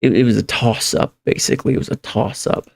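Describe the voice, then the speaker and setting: Guy streaming casually, creaky relaxed voice, rambles and repeats himself. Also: mid-range voice. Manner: rambling, halting, repeats phrases as he thinks aloud. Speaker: live streamer. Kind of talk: casual livestream chat, reading viewer comments.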